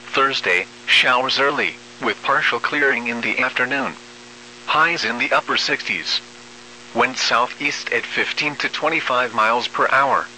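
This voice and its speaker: A synthetic text-to-speech man reading an automated weather bulletin as a radio announcer, formal, measured and impersonal. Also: medium pitch, pushed and bright, slight background noise, low-quality recording.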